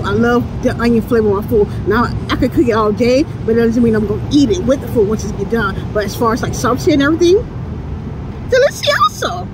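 A woman in her thirties chats about her food in a bright, lively voice that jumps up and down. She talks casually into a phone held at arm's length outdoors. Passing cars run under her voice. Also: fairly high pitch. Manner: chatty, playful, laughs often while eating. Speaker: food reviewer. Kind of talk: casual food review vlog. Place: outdoors beside a building, near traffic. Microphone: phone camera at arm's length. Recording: outdoor phone recording with traffic noise.

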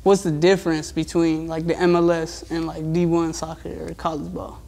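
A man's voice: fairly high pitch, flat delivery, moderate pace, vocal fry, darkish tone. A man in his twenties hosting an interview in a casual, slangy way. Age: 20s. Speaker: interview host.

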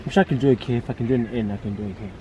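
A man's voice: medium pitch, racing, warm tone, slight background noise. A man on a trip with friends, joking around outdoors, heard from a distance. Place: outdoor resort garden.

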